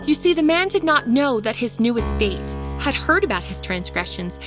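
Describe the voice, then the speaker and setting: A woman reading a scripted dark horror story in a dramatic, suspenseful storytelling manner. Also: a little high, sing-song, normal speed. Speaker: storyteller, podcast narrator. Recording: music bed under the voice. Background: music.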